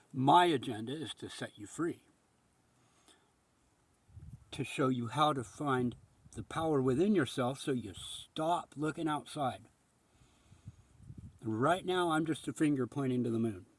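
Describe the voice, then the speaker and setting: A man with a husky voice giving a rambling, reflective spiritual monologue in an earnest, contemplative manner with emphatic pauses. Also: mid-range voice. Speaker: spiritual speaker.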